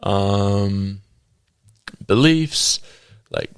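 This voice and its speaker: A young man in his twenties from New Zealand with a New Zealand accent, a podcast guest sharing his faith testimony with many pauses, ums and laughs. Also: fairly low pitch, expressive, creaky, clear, resonant tone, dry recording, studio quality. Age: 20s. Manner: hesitant, with frequent ums and laughs.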